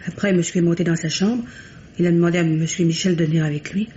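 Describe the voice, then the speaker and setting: A woman's voice: fairly low pitch, fairly even intonation, fast talker, mellow, natural voice. A woman playing a nervous, deferential household maid, hesitant and simply spoken, in old French radio mystery acting. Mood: guarded, deferential. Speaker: radio actor. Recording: muffled, noisy old radio recording.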